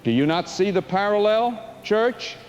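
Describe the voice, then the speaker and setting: An actor playing a stern apocalyptic preacher, delivering a solemn, exhorting fire-and-brimstone sermon in an audio drama. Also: male voice, sits high, expressive, measured pace, lo-fi sound. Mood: righteous, foreboding. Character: stern apocalyptic small-town male preacher. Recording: faint background noise.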